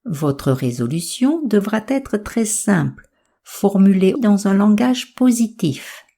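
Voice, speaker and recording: A woman with a soft, breathy voice calmly guiding a yoga nidra meditation in an unhurried, soothing way. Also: medium pitch. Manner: calm, soothing, unhurried instruction. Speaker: meditation guide.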